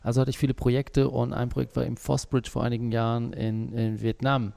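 A man's voice: medium pitch, level tone, fairly quick, creaky, dark tone. A man as the interview guest, a small business owner, talking through his work in a hesitant way with many fillers. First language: German. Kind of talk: conversational interview.

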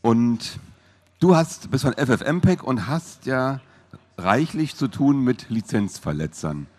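A man's voice: mid-range voice, lively intonation, takes it slowly, darkish tone. A man chatting informally as an interviewer, in a loose conversational manner. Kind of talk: casual interview chat.

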